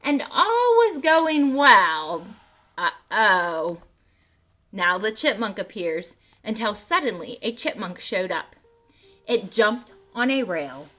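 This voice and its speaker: A woman reads a children's picture book aloud at an unhurried pace. Her voice is bright and clear in intent, with animated delivery and chatty asides to young listeners. Music plays behind her. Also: mid-range voice. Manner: animated, asks listeners questions. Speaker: read-aloud host for children.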